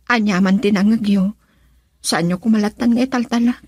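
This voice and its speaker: A woman's voice: medium pitch, fairly quick, a little mellow, husky, no room sound, studio quality, lightly acted. A woman playing a tender, anxious young pregnant wife in an Ilocano radio drama, with a Filipino accent. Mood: grateful, tender.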